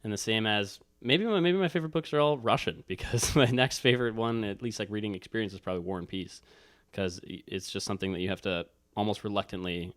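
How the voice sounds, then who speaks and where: Smooth low-voiced man thinking aloud. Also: fast talker, no echo, clean studio sound. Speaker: book talk co-host. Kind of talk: casual unscripted book chat.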